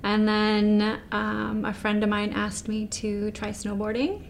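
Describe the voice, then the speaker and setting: A woman's voice: mid-range voice, little pitch movement, slow, creaky, a little hiss or hum. A woman in her forties, a former professional snowboarder from Canada, answering interview questions in an easygoing way with many fillers. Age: 40s.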